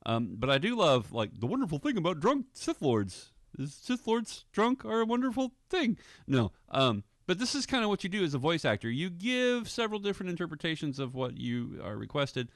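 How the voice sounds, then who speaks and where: A man's voice: on the high side, sing-song, brisk, few pauses, no echo, clean studio sound. A man giving casual, amused and easygoing commentary as an audio drama director on his vlog.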